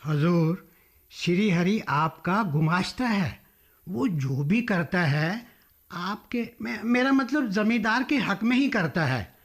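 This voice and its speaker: A man's voice: high voice, lively intonation, takes it slowly, a bit bright, exaggerated voice. An actor playing a wily, obsequious middleman in a radio drama, deferential and persuasive as he schemes. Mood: fawning, persuasive. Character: wily, obsequious middleman coaxing landlord and buyer. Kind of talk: Hindi radio drama acting.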